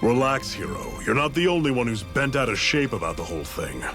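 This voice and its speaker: An actor playing a seasoned, fatherly rebel leader in a video game, with a relaxed, folksy, mentoring way of talking. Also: medium pitch, a little fast, over music, natural voice. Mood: wry, explaining.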